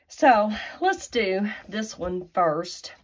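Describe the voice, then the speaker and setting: A woman's voice: mid-range voice, lively intonation, very slow, low-quality recording. A woman with a stuffy nose from a sinus infection, chatting folksily through a card-making tutorial. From Tennessee, USA. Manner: chatty and folksy, with frequent fillers and asides. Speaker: craft demonstrator.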